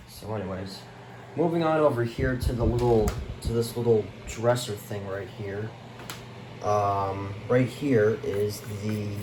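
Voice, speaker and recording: A man with a slightly low voice talks casually and off the cuff, like a hobby vlogger showing things around his home. His wording is slangy and easily exasperated. He sounds some distance from the mic, with faint noise behind him. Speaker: vlogger showing a radio collection. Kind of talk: casual unscripted show-and-tell. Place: indoors, room at home.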